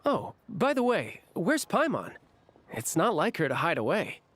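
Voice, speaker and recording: A man's voice: high voice, wildly swooping pitch, unhurried, fairly bright tone, full character voice. An actor playing a breezy, friendly young male officer in a video game, easygoing, reassuring and a little teasing. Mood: light, teasing.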